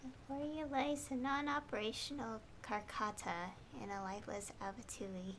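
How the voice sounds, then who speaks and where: A woman's voice: a little high, steady tone, moderate pace, fairly bright tone, slight background noise. A woman livestreaming a video game, reacting and reading the on-screen text aloud. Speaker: game streamer. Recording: game music behind the voice.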